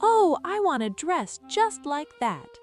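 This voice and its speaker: A woman voicing an excitable, pampered little girl in a bedtime story, exclaiming and begging. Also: very high-pitched, slow, voice pushed up, full character voice. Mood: pleading.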